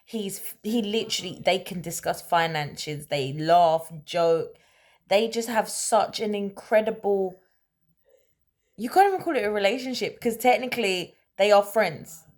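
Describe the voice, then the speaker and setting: Woman, medium pitch, chatty story-time host with an animated, emphatic way of talking. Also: a little slow. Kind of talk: casual conversational storytelling.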